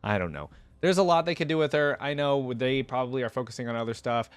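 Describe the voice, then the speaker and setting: A young man chats casually as a reaction host. His voice is mid-pitched and recorded very dry, and he rambles through his opinions. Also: animated, fast talker, smooth, no room sound. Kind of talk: casual chat, rambling commentary.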